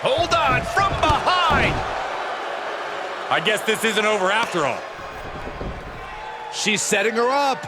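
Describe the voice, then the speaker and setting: A man playing an excitable wrestling commentator calling the action in a video game. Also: extremely high pitch, slow pace, pauses often, heavily acted. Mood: excited. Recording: very noisy arena crowd.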